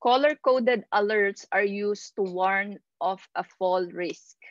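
A woman instructor giving a slide lecture over a video call, reading out points and explaining them haltingly, pausing mid-phrase. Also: mid-range voice, no echo, highs cut off. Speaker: instructor of nursing assistant course.

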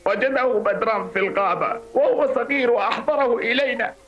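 An anxious cartoon insect dad with an unusually high male voice. He sounds remorseful and plaintive, with music clearly playing behind him. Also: brisk, lo-fi sound. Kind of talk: dubbed cartoon acting.

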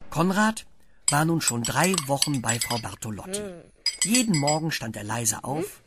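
A woman's voice: deep voice, very animated, unhurried, heavy background noise. A woman narrating a children's radio play as a scripted storyteller.

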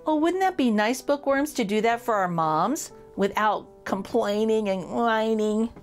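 Slow, animated woman reading to children. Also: a little high, soft background music. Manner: addresses young listeners directly, playful. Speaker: picture-book reader for children. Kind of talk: expressive children's read-aloud.

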